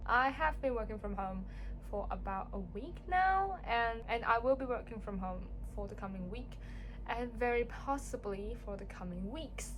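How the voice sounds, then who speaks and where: A woman's voice: on the high side, fairly bright tone, slight background noise, poor audio. A young woman whose first language is Cantonese, chatting casually and self-deprecatingly at home while doing a skincare routine for her vlog. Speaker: beauty vlogger. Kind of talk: casual get-ready-with-me chat. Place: home room.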